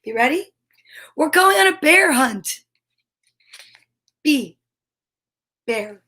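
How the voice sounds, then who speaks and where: High-voiced woman reading slowly to children. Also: expressive, sharp tone. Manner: sing-song repetition, dramatic exclamations, playful sound words. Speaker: host reading picture books aloud. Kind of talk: animated children's story read-aloud with casual asides.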